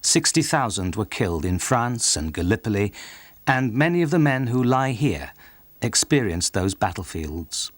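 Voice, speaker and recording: Man narrating a documentary with lively pitch. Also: moderate pace, dry recording.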